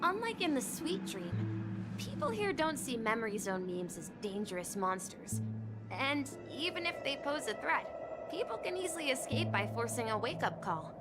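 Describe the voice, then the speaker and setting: An earnest, kind young game heroine. Her high voice moves in a lively, gentle, explanatory way over loud music. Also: moderate pace. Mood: explaining. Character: earnest, kind young woman, helpful companion. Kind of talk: video game voice acting.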